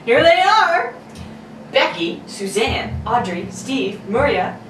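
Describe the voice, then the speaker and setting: A woman's voice: fairly high pitch, big pitch swings, slow pace. A woman narrating and announcing in the manner of a 1940s radio adventure serial. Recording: echoey distant room, noisy.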